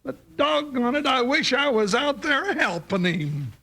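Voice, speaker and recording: An actor playing a kindly, folksy old cowboy sidekick with a cracking, wheezy voice in a 1950s Western radio drama. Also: male voice, very high-pitched, very animated, measured pace, vocal fry, loud music behind the voice, exaggerated voice. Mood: reassuring. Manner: folksy Western drawl, swooping, blustery.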